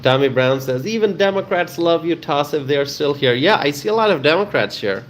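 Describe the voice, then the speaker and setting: A man's voice: fairly high pitch, animated, fairly quick, slight background noise. A man from Bangladesh hosting a casual livestream, reading out viewer comments and answering them, often repeating phrases for emphasis. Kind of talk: casual live chat with viewers.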